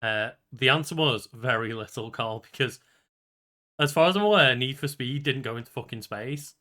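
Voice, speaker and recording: A man's voice: medium pitch, moderate pace, pushed and bright. A man talking casually as the co-host of a podcast, in loose conversational speech.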